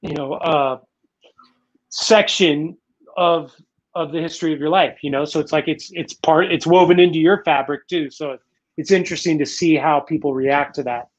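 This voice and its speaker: A man's voice: fairly high pitch, steady tone, moderate pace. A man in his forties hosting a live-streamed interview from home, asking long, rambling questions full of restarts and "you know". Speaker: talk show host. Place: home room in Manila, indoors. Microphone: video call webcam. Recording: dull, lo-fi webcam audio.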